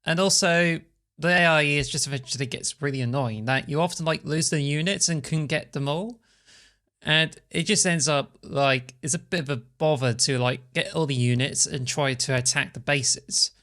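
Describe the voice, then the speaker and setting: A woman's voice: low-pitched, moderate pace, a bit bright. A woman co-hosting a casual podcast while nursing a strained back, rambling along with lots of "like" fillers. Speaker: podcast co-host. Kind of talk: casual chat. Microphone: podcast microphone.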